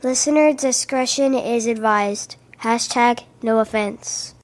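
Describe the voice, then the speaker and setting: A woman's voice: a little high, slow. A woman reading a scripted show disclaimer as an announcer.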